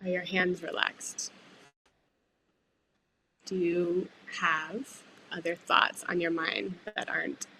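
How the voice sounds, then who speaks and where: A woman leading a guided breathwork meditation, speaking gently and soothingly with unhurried pauses. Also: fairly low pitch, little pitch movement, gravelly, slight background noise, rough recording. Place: live online group call.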